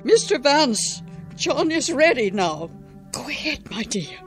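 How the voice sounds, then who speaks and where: Tender old wife, high and slow. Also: female voice, sing-song, full character voice. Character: devoted elderly wife, tender and patient. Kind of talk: radio drama acting. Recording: radio drama with music underneath.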